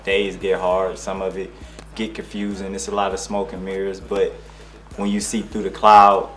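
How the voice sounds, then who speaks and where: A young man from Detroit, a rapper being interviewed, talking in a relaxed, rambling way with slang and restarts. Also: sits low, normal speed, faint music behind. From Detroit, USA. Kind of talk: casual interview.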